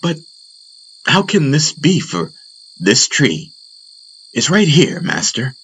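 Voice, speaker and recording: A man's voice: mid-range voice, very animated, slow, gravelly, a bit bright, a little hiss or hum, voice pushed up, exaggerated voice. A man voicing an earnest, puzzled young pupil in a fantasy audiobook, questioning and emphatic. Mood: bewildered. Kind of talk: audiobook character acting.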